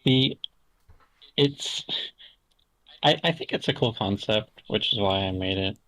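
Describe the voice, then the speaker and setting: A man's voice: on the low side, unhurried, a bit bright, dry recording, low-quality recording. A young man from Canada, a podcast guest talking in a casual, hesitant way full of fillers and restarts. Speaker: interview guest.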